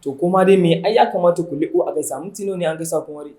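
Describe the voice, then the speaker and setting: An actor playing a friendly colleague who chides the others. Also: male voice, on the high side, rapid-fire, dark tone, roomy sound, close to an everyday voice. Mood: chiding. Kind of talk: film acting, Hausa dialogue.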